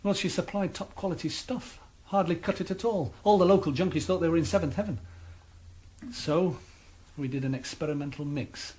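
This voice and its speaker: Male actor as a calm, threatening crime boss; understated radio-play menace. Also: fairly high pitch, fairly quick, lightly acted. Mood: menacing. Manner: menacing, matter-of-fact. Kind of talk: radio drama acting.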